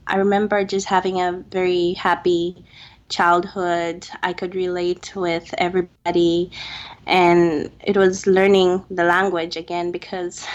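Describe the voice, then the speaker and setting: A woman from Zambia with a lowish, even voice speaks very slowly and softly in a relaxed interview. The audio sounds muffled, like a remote call. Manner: soft-spoken, unhurried, with light laughs. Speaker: guest, public health student.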